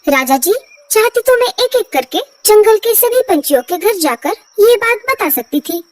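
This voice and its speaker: A woman's voice: extremely high pitch, big pitch swings, moderate pace, a little mellow, dry recording, recorded from a distance. A woman voicing a small, helpful female bird in a cartoon story, earnest and polite. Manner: earnest, respectful. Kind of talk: Hindi cartoon story dubbing.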